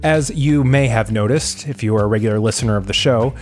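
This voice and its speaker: A man's voice: fairly low pitch, brisk, faint music behind. A man hosting an interview podcast, reading an earnest introduction and then chatting with his guest. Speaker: podcast host.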